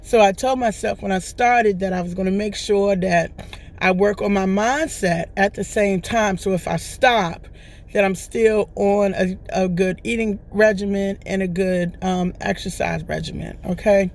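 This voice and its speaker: A woman with a hoarse voice that is still recovering, talking casually and at length to her vlog viewers and often asking "right?". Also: mid-range voice, fairly even intonation, a little slow, a little mellow. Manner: rambling, chatty, often checks in with "right?". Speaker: vlogger. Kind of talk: casual testimonial chat.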